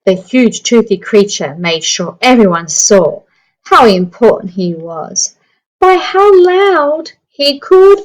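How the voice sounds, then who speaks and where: A woman reading a picture book aloud to young children, with expressive, deliberate, dramatic phrasing. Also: mid-range voice, poor audio.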